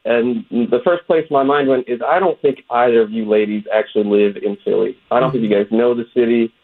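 A man's voice: mid-range voice, brisk. A man from West Virginia, a comedian being interviewed, earnestly recounting a conversation with quoted back-and-forth. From West Virginia, USA. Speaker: guest, comedian. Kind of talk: phone-in interview. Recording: tinny phone line.

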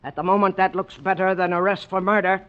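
An actor playing a menacing, cornered crook, terse and threatening, in 1940s radio crime style. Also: male voice, high-pitched, fairly even intonation, fast, natural voice. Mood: threatening, tense. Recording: muffled 1940s radio recording.

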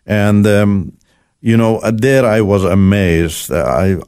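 Iranian-born man, Turkish mother tongue; dark, dry, halting interview guest. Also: on the low side, smooth, no echo. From Iran. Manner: halting, full of "you know" fillers and restarts. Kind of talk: recounting his life story in conversation.

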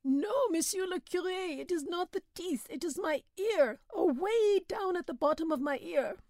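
A man's voice: very high-pitched, animated, normal speed, darkish tone, dry recording, studio quality, pitched above the performer's own voice. A woman reading a panicky peasant man who moans that a bug is in his ear, in a pleading voice. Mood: pained, frantic. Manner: pleading, moaning.

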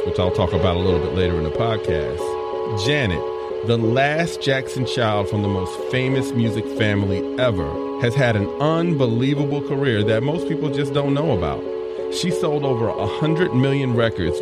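A man hosting a podcast, telling a story in scripted narration with enthusiastic, candid asides. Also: fairly low pitch. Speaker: podcast host.

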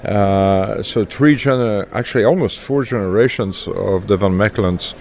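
An older man from Belgium with a Belgian accent, speaking haltingly with many fillers as an interview guest. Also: fairly low pitch, vocal fry, a little mellow. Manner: halting, many uhs, chuckles. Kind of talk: casual interview chat.

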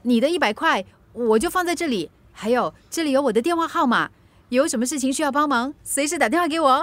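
High-voiced, chatty young Singaporean woman. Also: expressive, fast talker, no echo. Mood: cheerful, caring. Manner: chatty, polite, fussing. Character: chatty, eager young woman, kind-hearted and helpful. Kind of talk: radio drama acting.

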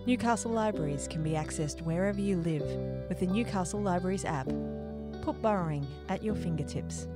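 A woman's voice: fairly low pitch, a little fast. A woman reading a polished, scripted announcement. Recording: loud music bed.

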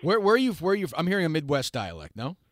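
Fast, animated, teasing male host. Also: on the high side, no echo, clean studio sound. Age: 40s. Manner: animated, teasing, rambling questions. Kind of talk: casual interview chat.

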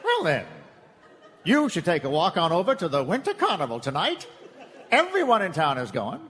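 A man's voice: on the high side, huge pitch swings, a bit bright. A man playing a folksy, easygoing small-town cafe owner and dad, musing his way through a live comic radio play. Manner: folksy, musing, drawn-out hmms. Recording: reverberant live hall.

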